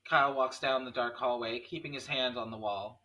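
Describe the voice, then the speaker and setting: A man's voice: mid-range voice, fairly even intonation, a little fast, muffled recording. A man narrating scene headings and stage directions at a screenplay table read.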